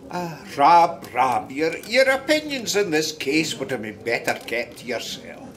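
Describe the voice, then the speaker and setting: Slow, wistful Scottish storyteller. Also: male voice, fairly high pitch, big pitch swings, a bit bright, exaggerated voice. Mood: rueful, musing. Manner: musing, conversational, hesitant asides. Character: wistful, gossipy male Scottish storyteller, fond of his friend. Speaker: narrator. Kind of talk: radio drama storytelling.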